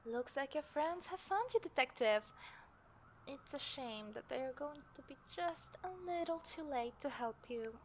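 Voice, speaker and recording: A woman playing a taunting, smug serial killer in an audio roleplay, speaking deliberately and theatrically with breathy drops toward a whisper. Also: high voice, lively intonation. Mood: smug, menacing. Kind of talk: scripted audio roleplay addressed to the listener. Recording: clear background noise, city ambience effects.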